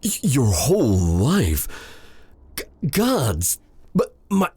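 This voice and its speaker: A man playing a proud but tender dark sorcerer in an intimate ASMR roleplay drama, speaking with halting, theatrical courtliness in a breathy, gravelly voice. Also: medium pitch, huge pitch swings, dry recording, heavily acted. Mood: shocked, sympathetic.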